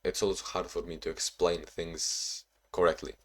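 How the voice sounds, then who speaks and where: A man's voice: low voice, flat delivery, normal speed. A young man from Spain and Ukraine, not a native English speaker, talking casually to his own camera in a rambling, self-reflective way with many restarts, tired from little sleep. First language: Spanish or Ukrainian. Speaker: vlogger.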